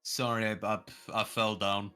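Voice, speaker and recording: Low, bright-voiced joking man. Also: little pitch movement, moderate pace, smooth. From England. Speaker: co-host of a live stream. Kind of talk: casual joking banter. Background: background music.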